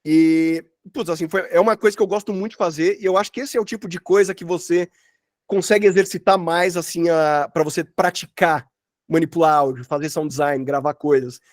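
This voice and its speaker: Man, Brazilian accent, fairly high voice, dry close sound, informal teaching, rambling and slangy. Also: fairly even intonation, a little fast, no room sound. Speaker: sound designer teaching a workshop. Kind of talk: informal online workshop talk, explaining work examples. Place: at home, online session.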